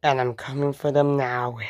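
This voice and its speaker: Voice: mid-range voice, darkish tone, highs cut off, pitched below the performer's own voice. A performer voicing a murderous prehistoric crocodile monster in a homemade dinosaur story, menacing, gloating and taunting.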